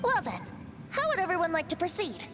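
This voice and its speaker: A woman's voice: very high-pitched, wildly swooping pitch, brisk, exaggerated voice. A woman voicing a playful young male bard with a wry, teasing manner in a video game. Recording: game audio with long reverb and music.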